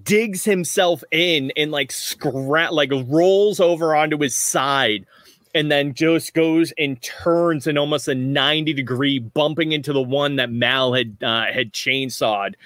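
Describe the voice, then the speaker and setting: A man's voice: fairly high pitch, unhurried, a bit bright, dry recording. A man narrating as game master in a tabletop roleplaying game, rambling with frequent restarts. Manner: rambling, many restarts, laughs often.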